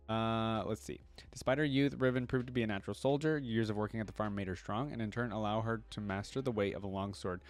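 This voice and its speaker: Man, lowish pitch, online reaction creator, casual commentary, reading aloud, thinking out loud. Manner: thinks aloud, interjecting between read passages.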